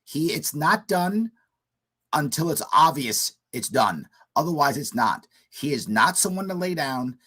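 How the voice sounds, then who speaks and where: Hoarse man hosting talk radio. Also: a little high, sing-song, measured pace, bright, forceful tone. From United States. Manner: emphatic, repetitive political commentary. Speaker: radio talk show host.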